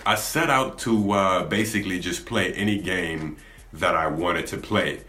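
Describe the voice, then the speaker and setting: A young man with a deep voice, talking candidly to camera in a laid-back, rambling way, often restarting his sentences. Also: a little slow, sharp tone. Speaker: gaming YouTuber. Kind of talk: candid vlog talk to camera. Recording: echoey room.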